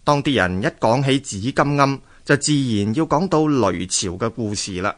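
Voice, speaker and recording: A man with a Hong Kong Cantonese accent narrating a scripted radio story in a measured, expressive storytelling manner. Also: medium pitch.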